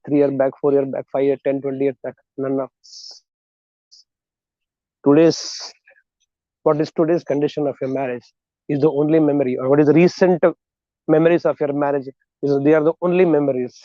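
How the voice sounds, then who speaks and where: A man with an Indian accent giving a motivational talk in a deliberate, emphatic way, in short phrases with rhetorical questions. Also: mid-range voice, dry recording, lo-fi sound. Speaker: motivational speaker and counselor.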